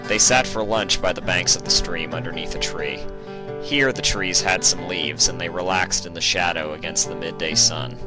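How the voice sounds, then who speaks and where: A man's voice: deep voice, normal speed, rough recording. A man reading a story aloud as scripted narration. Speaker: narrator reading fan fiction. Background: instrumental guitar music.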